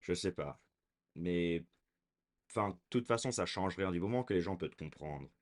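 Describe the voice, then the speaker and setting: Hesitant young man with a very low voice. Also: expressive, moderate pace. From raised in America. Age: 20s. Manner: hesitant, many fillers and restarts. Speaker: vlogger. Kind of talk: casual talking to camera.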